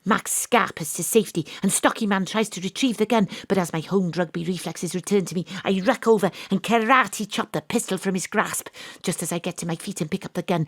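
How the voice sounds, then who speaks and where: A woman's voice: medium pitch, no room sound, clean studio sound, close to an everyday voice. An actress playing a wry, self-regarding detective, telling her own story in an audio drama. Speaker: actor narrating an audio drama. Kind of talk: first-person dramatic narration.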